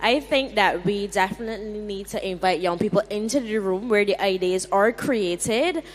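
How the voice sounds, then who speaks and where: A woman's voice: medium pitch, normal speed, a little hiss or hum. Firm woman from Barbados. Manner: firm, insistent. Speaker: conference delegate. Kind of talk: audience remarks. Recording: hall reverb.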